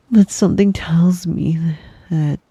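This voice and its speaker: A man voicing a possessive, doting, menacingly devoted wolf boy lover in an intimate ASMR roleplay, breathy and husky, often slipping into a whisper, with a tender, teasing manner. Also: sits high, warm tone, dry recording, full character voice. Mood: teasing menace. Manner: slow, tender, teasing, giggly.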